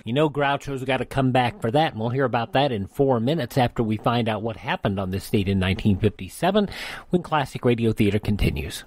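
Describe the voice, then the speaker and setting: A man's voice: medium pitch, expressive, a little fast, a little mellow. An American man presenting a radio show in a folksy, conversational, chatty way. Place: studio.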